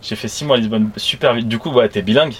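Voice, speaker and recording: A man's voice: mid-range voice, quick pace, husky. A man hosting a live current-affairs show, chatting in a casual, slangy interview style. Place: live stream from a van in central Paris. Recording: noisy city street ambience.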